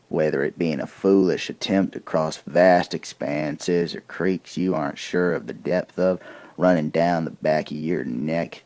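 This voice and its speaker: Very deep, gravelly old-frontier soldier, acted by a man. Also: darkish tone, no room sound, heavily acted. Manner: deliberate, folksy storytelling. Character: grim, weathered male army corporal of an alternate 1880s America. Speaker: narrator of a soldier's account. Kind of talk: dramatic first-person audio drama monologue.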